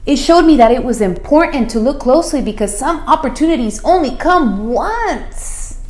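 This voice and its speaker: A woman reading a picture book aloud to children as a school principal, expressive and deliberate, putting questions to her listeners. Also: high voice. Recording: roomy, echoey room sound.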